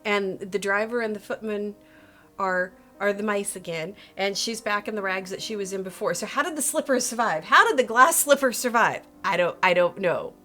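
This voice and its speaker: A woman hosting a video and telling a story casually and comedically, in an animated, sarcastic manner with playful asides and self-interruptions. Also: medium pitch, normal speed, soft background music.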